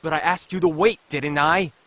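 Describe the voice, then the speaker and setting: A man voicing a blunt but caring male high school student, earnest, in an amateur anime fan dub. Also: steady tone, moderate pace, a bit bright, no room sound, lightly acted. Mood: annoyed.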